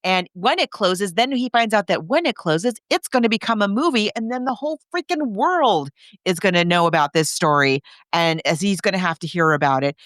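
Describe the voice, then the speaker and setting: A woman's voice: mid-range voice, lively intonation, brisk, a bit bright, no echo, studio quality. A woman co-hosting a podcast, recapping a film in casual chat with a conversational storyteller's manner. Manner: conversational storytelling, acting out characters' reactions. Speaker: podcast co-host.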